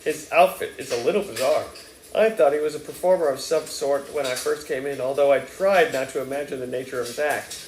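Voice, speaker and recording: A man's voice: medium pitch, brisk, echoey room, a little hiss or hum, natural voice, rough recording. A man reading aloud and voicing a chatty, doting middle-aged Southern mother in a gushing, folksy way. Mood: fussy gossip.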